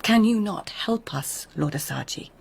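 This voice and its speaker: An actress playing a poised, guarded court lady of old Japan in a radio drama, breathy and husky, with measured, understated delivery. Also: mid-range voice, expressive, heavily acted. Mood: quietly pleading. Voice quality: breathy, husky, near whisper. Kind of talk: radio drama acting, intimate narration and dialogue.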